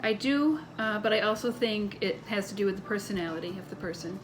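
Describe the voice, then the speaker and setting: A woman's voice: mid-range voice, animated, a little fast, music in the background, low-quality recording. A woman, the interviewer's mother, who gives short, plain answers.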